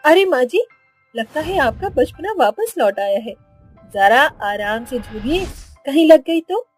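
A woman voicing a respectful, caring young daughter-in-law in a cartoon, politely and gently. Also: high voice, big pitch swings, measured pace, faint music behind. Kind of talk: Hindi cartoon voice acting.

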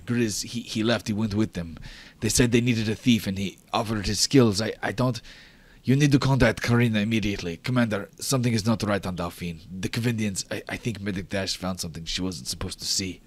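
A man voicing a stressed female ship officer who stammers anxiously in a hushed voice during tabletop roleplay. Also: on the low side, brisk, lightly acted.

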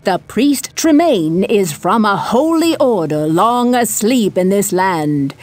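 Slow, cryptic witch. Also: mid-range voice, expressive, darkish tone. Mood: cryptic, knowing. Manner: measured, mysterious, portentous. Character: cryptic, knowing witch seer. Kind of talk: fantasy game acting.

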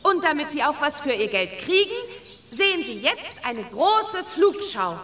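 A woman's voice: high voice, big pitch swings, unhurried, fairly bright tone, full character voice. A woman playing a spirited, cheeky young witch girl in a children's audio drama, bold and eager. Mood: eager, proud.

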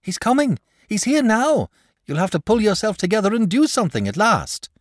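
A man voicing an eager, excitable young village boy in a storybook reading, pleading and high-pitched. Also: moderate pace, dry recording, clean studio sound. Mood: excited.

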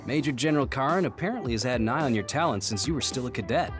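An actor playing a composed, dutiful platoon officer in an anime game, measured and instructive. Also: male voice, mid-range voice, lively intonation, over music, close to an everyday voice. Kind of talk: anime video game dub acting.

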